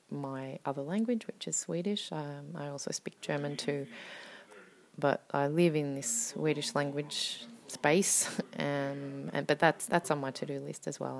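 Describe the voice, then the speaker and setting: A woman poet speaks casually as a podcast guest, pausing on ums and letting her words trail off softly. Her voice is very low with a gravelly fry in it. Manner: hesitant, many ums, soft trailing-off words. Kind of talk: casual interview talk.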